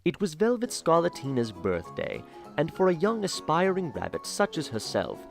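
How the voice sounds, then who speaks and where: Guy narrating fan audio drama, lively pitch, dry theatrical asides, faint music. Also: moderate pace, creaky. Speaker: narrator voicing a headmaster.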